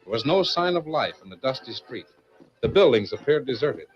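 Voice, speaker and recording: A man's voice: mid-range voice, sing-song, brisk, highs cut off, faint music behind. A man narrating a western adventure as a grand, declamatory old-time radio announcer.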